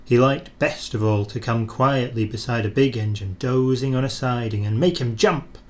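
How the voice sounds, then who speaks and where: A man reading a children's train story aloud in an expressive, animated storyteller's manner. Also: mid-range voice, normal speed.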